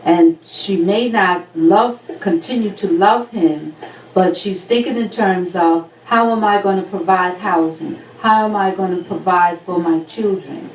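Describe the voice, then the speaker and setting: Woman calmly giving advice, roomy echo, a bit far from the mic, faint noise. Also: fairly low pitch. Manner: measured, advising. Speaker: panelist. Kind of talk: advice in a talk show.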